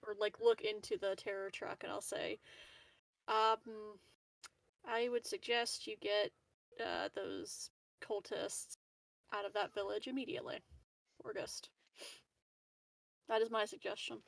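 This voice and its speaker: A woman's voice: medium pitch, steady tone, measured pace, creaky, long pauses, no echo. A woman playing a tabletop roleplaying game, chatting casually in a hesitant, tentative way.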